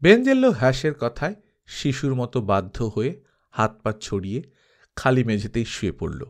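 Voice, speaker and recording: A man reading a scripted story as an expressive narrator. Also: mid-range voice, moderate pace.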